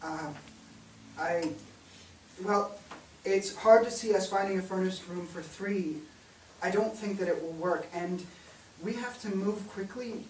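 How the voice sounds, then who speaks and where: A man's voice: sits high, unhurried, long pauses, darkish tone. Actor as tired stern father, resigned manner, period family drama, roomy far-off sound, some noise. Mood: hesitant. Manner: hesitant, resigned, turning stern. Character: worn-down, stern laid-off father of a 1930s-style household. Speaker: stage actor. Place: indoor theatre stage, recorded from afar. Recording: roomy theatre, distant mic, hissy background.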